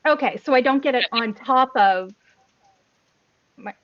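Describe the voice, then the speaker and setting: A woman plays a tabletop game online, hesitating as she counts her moves and picks her attack. Her voice sits fairly high. The sound is dry and slightly dull. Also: measured pace, dry recording. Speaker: player. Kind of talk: casual tabletop play.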